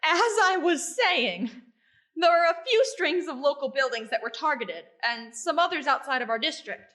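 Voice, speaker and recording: A woman's voice: high-pitched, lively intonation, moderate pace, bright, forceful tone. A woman playing a studious, earnest and composed young male researcher in an audio drama. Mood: composed, explaining.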